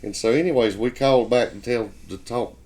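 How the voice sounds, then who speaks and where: A man's voice: mid-range voice, fairly quick, darkish tone. A middle-aged man with a Southern US accent, reminiscing casually in a rambling, folksy storytelling way. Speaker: co-host telling stories of racing life.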